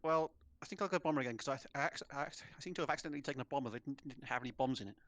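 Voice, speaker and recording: Male teammate, quick and easygoing, tactical suggestions. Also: medium pitch, a bit bright. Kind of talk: casual gaming chat.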